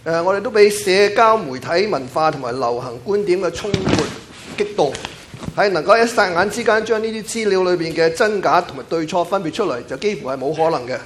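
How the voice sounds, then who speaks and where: A male interpreter with a Cantonese accent renders the sermon quickly and fluently, phrase after phrase. His slightly high voice moves at a brisk clip, with faint church ambience behind him. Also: slight background noise. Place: church sanctuary.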